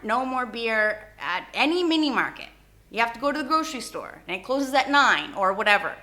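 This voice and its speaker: A woman hosting a vlog, giving a casual, opinionated rant in an animated, informal way and mixing Indonesian phrases into her English. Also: fairly high pitch. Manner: animated, informal, acts out short imagined exchanges. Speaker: YouTube host.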